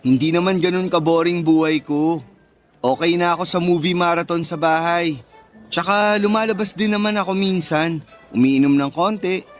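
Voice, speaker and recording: A man's voice: a little high, moderate pace, soft background music, lightly acted. An actor playing a shy, modest man of twenty-one in a radio drama, casual and bashful. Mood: shy, amused. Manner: bashful, self-deprecating, casual. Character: shy, modest introverted young male office worker, twenty-one. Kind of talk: Tagalog radio drama dialogue.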